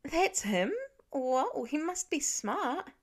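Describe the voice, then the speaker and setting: A woman voicing an earnest teenage son who speaks haltingly as he works things out in an audiobook. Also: sits high, big pitch swings, voice pushed up, full character voice. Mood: surprised. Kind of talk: acted audiobook dialogue.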